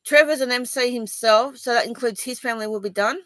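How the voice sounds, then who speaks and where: A woman's voice: a little high, fairly quick, a bit bright, no echo. An Australian woman from Sydney speaking to viewers in a personal video, rambling and defensive, with many ums and restarts. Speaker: vlogger.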